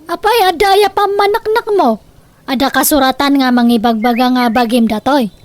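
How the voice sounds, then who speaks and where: A woman playing a scheming, sharp-tongued mother in a radio drama, with a Filipino accent. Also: sits high, normal speed, dry recording, close to an everyday voice. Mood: insistent. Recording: noticeable background noise.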